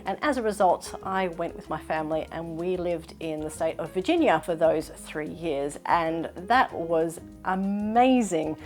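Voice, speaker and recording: A woman in her thirties with a mainly Australian accent touched by British and American sounds, chatting upbeat in a sewing Q&A vlog. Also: fairly low pitch. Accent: Australian with British and American traces. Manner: chatty, upbeat, self-reflective. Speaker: sewing vlogger. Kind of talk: casual Q&A vlog.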